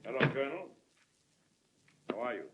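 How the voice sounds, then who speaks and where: Sober wartime president, old radio. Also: male voice, mid-range voice, very animated, a bit bright, slight background noise. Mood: grave, courteous. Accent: American. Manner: measured, dignified. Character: sober, reluctant wartime American president, courteous. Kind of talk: 1940s radio drama acting, storytelling. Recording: dull old radio transcription, music behind.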